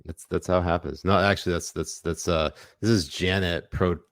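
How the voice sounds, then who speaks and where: A low-pitched man trades sarcastic, mock-serious political banter as a podcast co-host. The sound is dry and close, with no room echo behind him. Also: fairly even intonation, normal speed.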